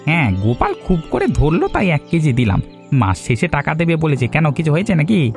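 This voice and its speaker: চড়া গলার বদমেজাজি গ্রাম্য কসাই. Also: male voice, on the high side, wildly swooping pitch, fairly quick, faint music behind. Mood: matter-of-fact. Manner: blustering, tags threats with questioning "hyan". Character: hot-tempered, threatening village butcher, quick to take offence. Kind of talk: Bengali cartoon voice acting.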